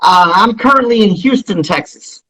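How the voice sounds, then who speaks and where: A man with a notably high voice and a husky edge speaks as a guest in an interview. He explains his business earnestly and emphatically, in a promotional way, driving key points home. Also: lively intonation, moderate pace, a bit bright, low-quality recording. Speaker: interview guest, company founder.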